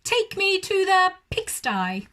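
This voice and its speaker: A woman plays a cheeky, lazy young owl from a children's storybook. He cries out in a high, loud, theatrical voice, with big swoops in pitch. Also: big pitch swings, slow pace, full character voice. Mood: mock panic. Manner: theatrical, exclaiming.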